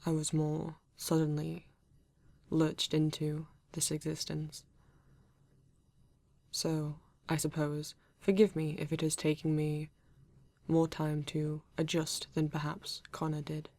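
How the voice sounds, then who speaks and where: A woman with a British accent playing a formal, reserved male android detective in an ASMR roleplay, speaking stiffly and deliberately with halting pauses. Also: low-pitched, completely flat delivery, a little mellow, dry recording, exaggerated voice. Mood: guarded, halting. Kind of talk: ASMR roleplay addressed to the listener.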